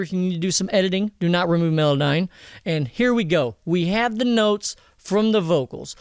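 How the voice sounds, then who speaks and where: High-pitched, smooth-voiced man giving a slangy tutorial. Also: no echo, studio quality. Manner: slangy, jokey, irreverent. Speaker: tutorial presenter. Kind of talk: casual software tutorial.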